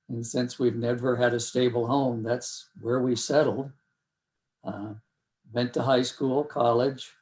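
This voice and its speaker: A man's voice: medium pitch, a little mellow, poor audio. An older man telling his life story in an interview, wry and matter-of-fact. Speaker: interviewee, Vietnam veteran. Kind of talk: oral history interview.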